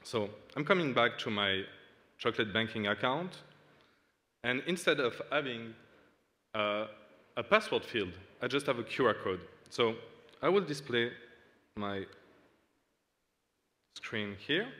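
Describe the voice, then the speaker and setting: A man's voice: medium pitch, expressive, sharp tone. A man from Switzerland giving a hesitant, earnest startup demo on stage into a lectern mic. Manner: halting, earnest, many fillers. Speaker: startup founder demoing. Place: large dark hall on stage. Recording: long hall reverb.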